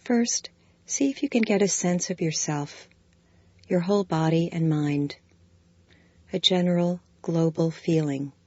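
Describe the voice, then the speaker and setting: A woman with a notably low, deep voice guides a body scan meditation in slow, soft, calm phrases. The recording is dry and close, with a slightly dull, muffled tone. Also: dry recording.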